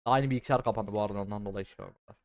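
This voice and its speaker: Clear-voiced, foul-mouthed young man. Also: fairly low pitch, rapid-fire, dry recording. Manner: excitable, repeats words in bursts. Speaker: gaming streamer. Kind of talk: casual trolling game commentary.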